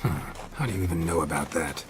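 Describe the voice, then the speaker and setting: An actor playing a seasoned monster hunter and fatherly mentor in a video game, gruff and laconic with dry understatement. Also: low voice, expressive, fast talker, background noise. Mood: teasing. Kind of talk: cinematic video game acting.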